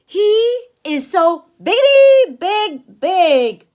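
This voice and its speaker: Woman doing a cautious cartoon elephant, worried, slow and deliberate, high and lilting. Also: sing-song, darkish tone, heavily acted. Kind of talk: children's picture book read-aloud.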